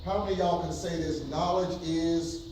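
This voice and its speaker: A man's voice: fairly high pitch, fairly even intonation, normal speed, noisy background. A man from New York City, a pastor giving a casual church workshop to an audience, with many ums and frequent questions to the room. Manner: hesitant, many ums, audience call-and-response. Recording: echoey hall from far off.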